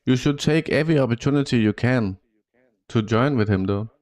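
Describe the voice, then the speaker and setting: Guy in a chat pushing his point, mid-pitch, plain voice. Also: brisk, darkish tone. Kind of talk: casual chat.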